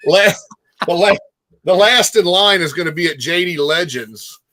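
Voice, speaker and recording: A man's voice: high-pitched, animated, normal speed, sharp tone. An American man hosting a casual talk show, going through listings and lists in a conversational way. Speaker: host presenting show listings and top-five lists. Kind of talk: casual chat.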